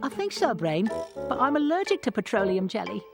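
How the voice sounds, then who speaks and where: Woman voicing a goofy dim male mouse, bouncy pitch, loud music behind. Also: big pitch swings, brisk, gravelly. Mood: dopey. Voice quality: goofy, dopey. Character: dim-witted cheerful male lab mouse.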